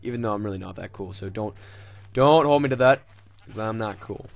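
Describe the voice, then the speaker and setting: A man's voice: fairly low pitch, brisk, gravelly, no room sound. A young man casually commenting on his game, rambling and joking with lots of swearing and fillers. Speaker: gaming video host.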